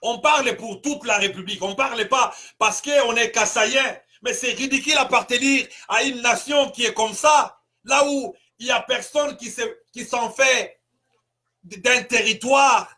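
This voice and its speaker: A man from Congo, a pastor, giving an impassioned political address full of rhetorical questions and bursts of shouting. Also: very high voice, moderate pace. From Congo (DRC).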